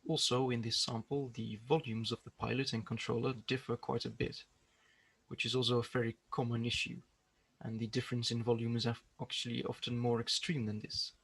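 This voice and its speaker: A man giving a prepared research presentation in a measured, explanatory way. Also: mid-range voice, flat delivery, vocal fry, fairly bright tone, husky, no echo.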